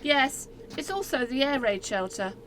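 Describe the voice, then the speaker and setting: A woman's voice: high-pitched, lively intonation, normal speed. A woman playing a cheery, matter-of-fact canteen cook in an amateur radio play. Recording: faintly noisy amateur recording.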